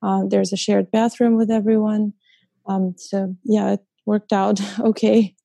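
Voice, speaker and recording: A young woman from Ukraine speaks as a guest in a casual interview. Her voice is dark and gravelly, and her pitch stays flat. She hesitates often, with ums and small laughs. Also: a little slow. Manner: hesitant, many ums and uhs, laughs softly. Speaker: guest, short-term rental host.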